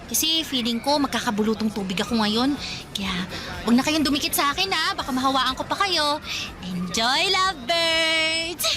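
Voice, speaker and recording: A woman with a Filipino accent playing a playful, teasing young cousin in a Tagalog radio drama. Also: sits high. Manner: playful, teasing, giggly delivery. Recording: music behind.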